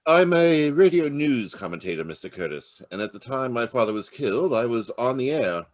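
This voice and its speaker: A man acting as a formal, guarded gentleman of a wealthy household in an old-time radio mystery. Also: medium pitch, animated, normal speed, lightly acted. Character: formal, guarded adult son of murdered millionaire. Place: remote video call performance.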